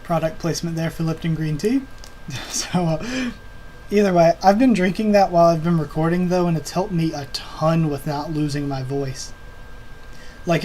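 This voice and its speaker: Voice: mid-range voice, a little mellow. Young host, home desk, casual rambling chat, fan hum underneath. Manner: rambling, with many ums and uhs. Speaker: anime video channel host. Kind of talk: casual season preview chat. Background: computer fan hum.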